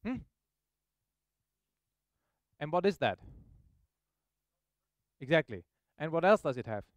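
Male tech presenter, high clear voice, informal and explanatory, dry close sound. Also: very animated, takes it slowly, no room sound. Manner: informal, explanatory, checks in with questions. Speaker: software presenter. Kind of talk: live technical demo to an audience. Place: meetup presentation room, on stage.

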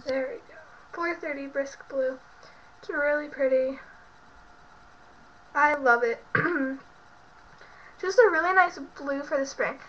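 A woman's voice: high voice, slow pace, long pauses, fairly bright tone, a little hiss or hum. A young woman who is sick and sounds congested, chatting casually and rambling with lots of fillers in a bedroom, recorded on a webcam. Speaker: beauty vlogger. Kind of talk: casual product show-and-tell. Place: bedroom at home. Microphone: built-in webcam mic. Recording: muffled lo-fi webcam audio.

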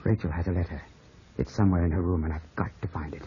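A man acting a brooding, suspicious young gentleman with an intense, dramatic manner in a 1950s radio play. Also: deep voice, fairly even intonation, quick pace, slight background noise, natural voice. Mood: grave, accusing. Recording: muffled old radio broadcast.

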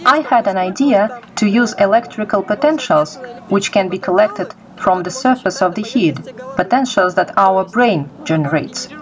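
A woman's voice: mid-range voice, low-quality recording. A young adult woman from Kazakhstan giving informal, explanatory answers as an interviewee. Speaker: interviewee, project creator.

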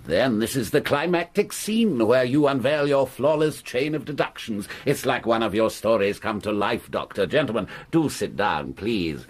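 An actor playing a grandiose, bitter stage actor in a radio drama, husky and theatrically pompous. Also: male voice, mid-range voice, animated, moderate pace, few pauses, close to an everyday voice. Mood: mock-courteous. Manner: pompous, theatrical, mock-courteous.

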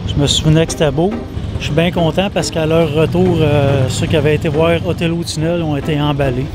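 Higher-pitched Québécois man narrating casually. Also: steady tone, fairly quick, noisy background. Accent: Quebec French. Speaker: vlog host and narrator.

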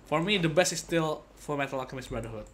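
A man's voice: on the high side, fast, a little hiss or hum. A young man giving casual, rambling gameplay commentary, often repeating his words. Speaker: game streamer. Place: indoor room, game music behind.

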